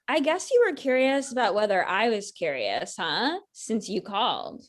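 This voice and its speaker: A woman's voice: medium pitch, big pitch swings, normal speed. A woman acting a blunt, sarcastic ex-girlfriend in her thirties in a conversational comedy script reading. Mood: casual, needling.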